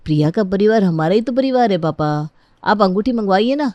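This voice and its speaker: A woman's voice: mid-range voice, lively intonation, fairly quick, a little mellow, no room sound, muffled recording, natural voice. A woman voicing an eager, impatient young bridegroom in a family story, coaxing and insistent.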